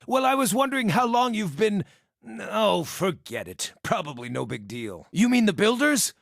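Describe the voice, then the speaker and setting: A man's voice: sits high, fairly bright tone, dry recording, studio quality, heavily acted. A man voicing a short, scarred, irreverent wild druid in a fantasy game, with a sardonic, playful and theatrical delivery. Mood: guarded. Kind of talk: scripted fantasy game dialogue.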